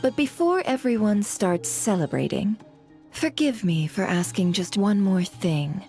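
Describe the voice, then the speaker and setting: A woman's voice: mid-range voice, expressive, measured pace, warm tone, soft background music. A woman playing a shrewd, coolly self-assured information broker in a video game, teasing and knowing, fond of pointed rhetorical questions. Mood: teasing, probing. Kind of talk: video game character acting.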